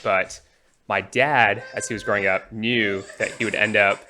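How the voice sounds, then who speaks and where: A young man from Alabama in the United States giving a personal testimony, often halting and restarting his phrases. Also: lowish voice, steady tone, over music. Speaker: church member giving testimony.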